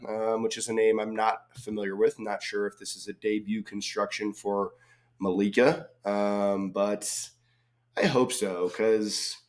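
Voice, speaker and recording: A man with a slightly hoarse voice from a cold, casually thinking aloud as he solves a crossword, reading clues and muttering guesses. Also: fairly low pitch. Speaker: crossword solving video host.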